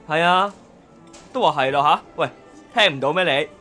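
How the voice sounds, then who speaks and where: High-pitched, cocky young rapper. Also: male voice, moderate pace, bright, forceful tone, soft background music. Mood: indignant. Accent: Hong Kong. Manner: blustering, insistent, repeats himself. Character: cocky, goofy young amateur rapper, male. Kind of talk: Cantonese radio drama acting.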